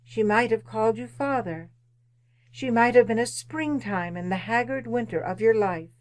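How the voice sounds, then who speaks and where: A woman playing a solemn, unyielding spirit of the past, speaking deliberately and commandingly in radio drama style. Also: fairly high pitch, darkish tone, natural voice. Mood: solemn, insistent. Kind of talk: old-time radio drama acting.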